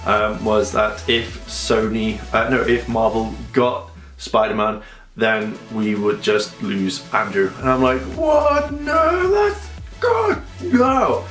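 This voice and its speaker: Congested man ranting to camera. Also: slow. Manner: animated, rambling, with sudden high-pitched outbursts. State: congested from a cold. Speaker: vlogger. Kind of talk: casual opinion vlog to camera. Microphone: camera on a tripod. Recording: echoey room with faint music.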